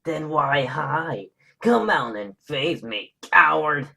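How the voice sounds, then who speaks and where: A man playing a defiant, bitter psychopathic killer with a sneering, drawn-out delivery in a horror audio drama. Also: fairly high pitch, animated, creaky, pushed and bright, exaggerated voice. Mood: mocking defiance. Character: defiant, mocking male psychopathic killer, bitter and foul-mouthed.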